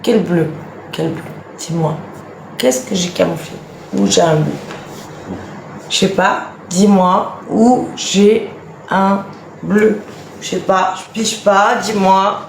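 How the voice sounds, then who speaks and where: A woman's voice: mid-range voice, fairly even intonation, slow pace, vocal fry, pauses often. A woman in her late thirties from eastern France with a Franc-Comtois accent, chatting on a home live stream and answering viewer comments in a chatty, emphatic, exasperated way. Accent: Franc-Comtois French. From Franche-Comté, eastern France. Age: late 30s. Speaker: live stream host, mother of a large family. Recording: echoey room, faint background noise.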